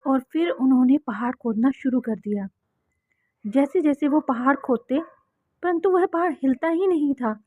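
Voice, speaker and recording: High-voiced woman narrating a story. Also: moderate pace, no room sound, narrow, tinny audio. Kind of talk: scripted children's cartoon narration.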